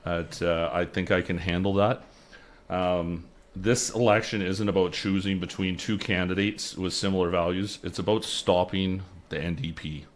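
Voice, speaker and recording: Young guy w a really deep voice, candidate in a radio studio, keeps restarting words. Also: steady tone, normal speed. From Lower Mainland, British Columbia. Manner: earnest, repeats words while he searches. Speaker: election candidate. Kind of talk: radio interview answers. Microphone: studio mic on boom arm.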